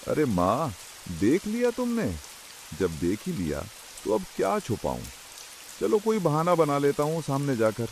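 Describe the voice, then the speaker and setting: A man's voice: fairly high pitch, huge pitch swings, a little slow, heavily acted. A man voicing a sheepish village son-in-law who makes excuses, in a comic cartoon. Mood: sheepish, muttering. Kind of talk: comic cartoon story dialogue. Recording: faint hiss with rain sounds.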